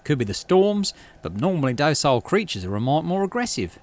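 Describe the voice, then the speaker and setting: A man's voice: on the high side, very animated, fairly quick, pitched above the performer's own voice. A man voicing a folksy, caring woman who leads expeditions in a sci-fi game. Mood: uneasy warning. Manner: folksy, plain-spoken.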